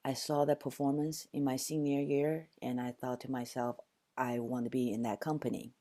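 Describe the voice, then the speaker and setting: Older woman from Taiwan, very low voice, reflective storyteller. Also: flat delivery, moderate pace, warm tone. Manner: reflective storytelling with frequent restarts. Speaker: guest, dancer and actor. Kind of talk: conversational interview.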